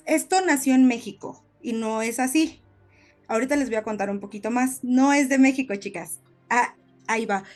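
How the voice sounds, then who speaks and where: A woman with a Mexican accent co-hosting a podcast, telling stories in a casual, chatty way. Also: on the high side. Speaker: podcast co-host. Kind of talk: casual conversational storytelling.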